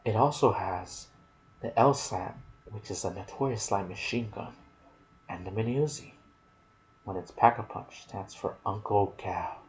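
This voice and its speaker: A soft-spoken man narrating video game lore in an ASMR style, with a hushed, deliberate delivery in short phrases. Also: lowish voice, rough recording. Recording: clear background noise.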